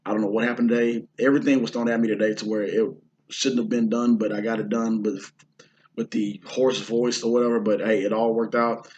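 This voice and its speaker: A hoarse man from the Houston area chatting casually about his indie film shoot, rambling with lots of fillers and restarts. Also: on the low side, level tone, a little fast, a little mellow, dull audio. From Houston, Texas area. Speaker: indie filmmaker and actor. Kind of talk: casual vlog talk.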